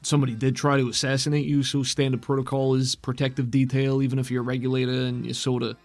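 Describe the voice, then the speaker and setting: A man's voice: medium pitch, flat delivery, fast, a little mellow, faint music behind, lightly acted. A man voicing a folksy, easygoing male companion in a cyberpunk tabletop game, talking in loose colloquial phrases. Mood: laid-back, puzzled.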